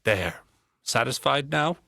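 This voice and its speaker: A man's voice: medium pitch, lively intonation, slow pace, gravelly, noisy background. An actor playing an impatient, brusque and self-important businessman in an audio play. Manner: brusque, demanding, boastful. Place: professional recording studio.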